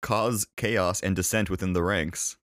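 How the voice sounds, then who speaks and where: A young man co-hosting a casual podcast, with a deep voice and a laid-back, dry way of talking, often pausing on fillers. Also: fairly even intonation, fairly bright tone, dry recording. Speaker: podcast co-host.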